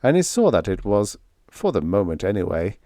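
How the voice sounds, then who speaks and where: A man's voice: lowish voice, big pitch swings, moderate pace, dark tone, dry recording. Man narrating fiction in a dry, close recording. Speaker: audiobook narrator.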